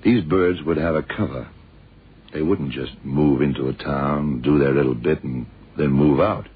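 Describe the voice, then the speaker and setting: A man playing a shrewd, world-weary detective in a radio drama, deliberate and slangy as he thinks aloud. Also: extremely low pitch, husky, full character voice. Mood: insistent, pondering. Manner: deliberate, musing, terse and slangy. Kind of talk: 1960s-70s radio drama acting. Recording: muffled, tinny old radio recording.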